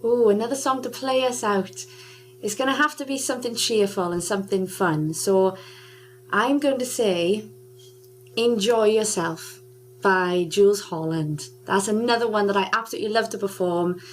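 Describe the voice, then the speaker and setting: A woman's voice: mid-range voice, normal speed, slight background noise, poor audio. A young adult woman guest on a radio interview, chatting with lots of laughter and filler "um"s. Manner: chatty, warm-hearted, laughs often, many "um"s. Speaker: guest singer.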